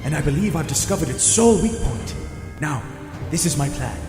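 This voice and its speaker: A man's voice: fairly high pitch, animated, fairly quick. A man playing a formal, commanding professor and mentor in a superhero audio drama, his voice echoing. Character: authoritative, measured male professor mentor of superheroes. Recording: echoey reverberant voice over music.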